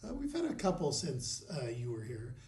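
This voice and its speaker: A man hosting a casual interview podcast, chatty and upbeat, asking quick questions. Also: mid-range voice, huge pitch swings, darkish tone, roomy sound. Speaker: podcast host.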